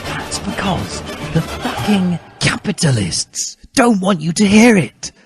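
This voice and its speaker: A man's voice: sits high, big pitch swings, takes it slowly, soft background music, pitched above the performer's own voice, heavily acted. A man voicing a smug, fervent teenage boy revolutionary in a preachy, ranting manner from a story reading. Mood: scornful, fervent. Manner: ranting, preachy, foul-mouthed emphasis.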